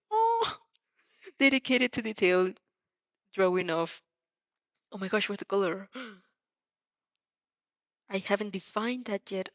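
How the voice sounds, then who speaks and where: A woman's voice: mid-range voice, fairly bright tone, no echo, muffled recording. A woman reading fan fiction expressively, tripping over words and reacting casually as she goes. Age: adult. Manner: stumbles over words and restarts, giggly. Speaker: fan fiction reader and dubber.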